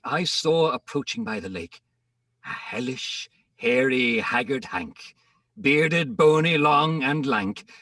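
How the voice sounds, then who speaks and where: A man giving a theatrical dramatic reading of rhymed verse, with deliberate pauses, recorded in an echoing room at some distance from the microphone. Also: mid-range voice, sharp tone. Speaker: poetry reader. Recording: roomy echo, distant microphone.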